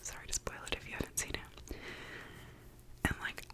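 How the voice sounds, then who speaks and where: A young woman whispering in her apartment, chatting casually as she tries out makeup, with lots of "like" and the odd swear word. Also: moderate pace. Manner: whispers the whole time. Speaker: ASMR vlogger. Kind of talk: whispered ASMR makeup chat.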